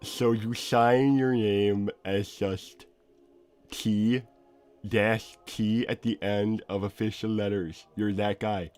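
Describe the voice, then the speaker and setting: A man's voice: lowish voice, fairly even intonation, darkish tone, faint music behind. Man as a stammering, defensive cartoon inventor. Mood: bitter, defensive. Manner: halting, stammering, repeats himself. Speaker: livestream co-host. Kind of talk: in-character improvised livestream chat.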